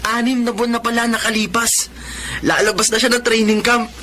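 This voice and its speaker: Earnest, bright-voiced young Filipino. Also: male voice, very high-pitched, little pitch movement, brisk, slight background noise. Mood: friendly. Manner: earnest, sincere. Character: earnest young male graduate, aggrieved dutiful son. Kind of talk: Filipino radio drama acting and first-person narration.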